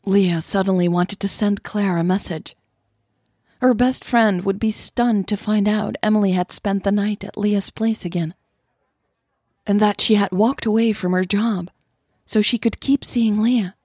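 A woman with a husky voice reading scripted story narration for an audio drama. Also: mid-range voice, steady tone, unhurried, darkish tone, dry recording, studio quality.

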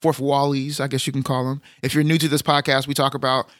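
A man from Texas co-hosting a podcast in casual chat, rambling and joking, his voice a little deeper than usual in the morning. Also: flat delivery, quick pace, a bit bright, no room sound, studio quality. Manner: laid-back, rambling, joking. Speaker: podcast co-host.

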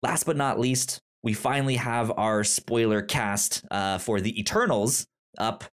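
A man's voice: medium pitch, unhurried. A man hosting a podcast in a casual, chatty style, often catching and repeating sounds as he starts words. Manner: frequent stammered sound repetitions. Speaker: podcast host.

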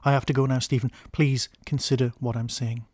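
A man's voice: mid-range voice, little pitch movement, a little fast, a little mellow, no echo, natural voice. A man voicing a weary time-travelling alien who earnestly pleads for a rest, in an audio story. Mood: pleading.

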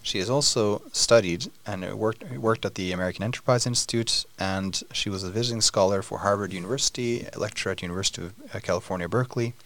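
A man's voice: fairly low pitch, fairly bright tone. A middle-aged man hosting an interview podcast, asking long questions with frequent fillers and restarts. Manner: halting, many fillers and restarts. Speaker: podcast host.

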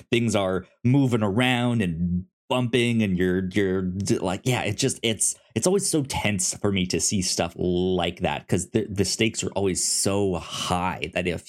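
A man co-hosting a casual film discussion, speaking haltingly and often stammering and repeating words. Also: deep voice. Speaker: podcast co-host reviewing films.

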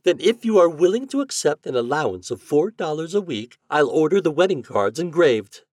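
A man's voice: fairly high pitch, very animated, moderate pace, no room sound, studio quality, voice pushed up. A man voicing a haughty, vain young society lady in an affectedly sweet, emphatic manner. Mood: coy.

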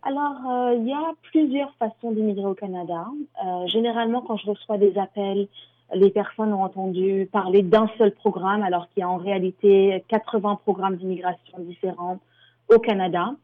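A woman's voice: mid-range voice, steady tone, moderate pace. A woman from France, an immigration lawyer, explaining things as a radio guest with many hesitations. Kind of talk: interview answers. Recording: tinny phone line.

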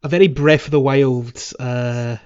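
Man, middling pitch, dull lo-fi sound, halting delivery, casual gaming podcast chat. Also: fairly even intonation, darkish tone, clear, resonant tone. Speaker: podcast co-host. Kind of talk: casual video game discussion.

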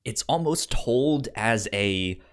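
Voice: medium pitch, lively intonation, slow pace. A podcast co-host chatting casually about a film, stammering and often repeating syllables and words.

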